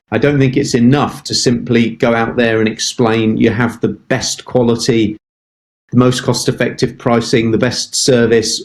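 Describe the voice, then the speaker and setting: A man's voice: mid-range voice, darkish tone. Emphatic middle-aged man giving sales advice. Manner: emphatic, repeats words for stress. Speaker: sales expert guest. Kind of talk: interview answers, advice.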